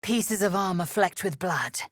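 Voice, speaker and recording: A woman voicing a proud, fierce, imperious githyanki warrior in a video game, with a husky, raspy voice and a clipped, haughty, formal manner. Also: on the low side, animated, measured pace, no room sound, studio quality. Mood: measured, grave. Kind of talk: game voice acting, short scripted lines.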